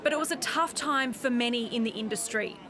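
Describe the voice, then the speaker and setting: A woman's voice: a little high, fairly quick, sharp tone, a faint crowd, a little hiss or hum. An Australian woman reporter narrating a scripted television news story.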